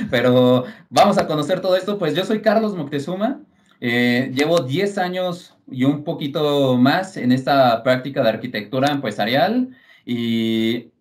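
A man's voice: mid-range voice, lively intonation, unhurried. A man with a Mexican Spanish accent chatting informally and somewhat ramblingly as a podcast co-host. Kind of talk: casual chat.

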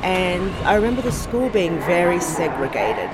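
An Australian woman from Sydney, speaking in a casual, thoughtful interview and pausing often with ums and restarts. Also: lowish voice, very animated, heavy background noise. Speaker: podcast host being interviewed.

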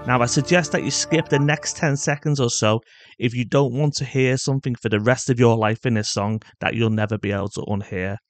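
A man's voice: medium pitch, steady tone, fairly quick, soft background music. A man hosting a podcast, delivering scripted narration. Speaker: podcast host.

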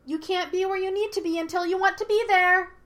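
A mischievous monkey spirit out of a storybook, acted out by a woman. The voice is very high and childlike, taunting and petulant, and slides into a teasing sing-song. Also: steady tone, brisk, fairly bright tone.

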